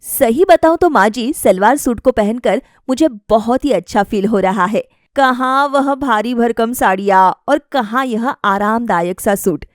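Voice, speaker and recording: High-voiced self-assured young wife, acted by a storyteller. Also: female voice, measured pace, hardly any pauses, no echo, studio quality, natural voice. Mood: pleased. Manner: reasoning, explaining firmly. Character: self-assured young wife, politely defiant daughter-in-law. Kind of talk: acted dialogue in a family story.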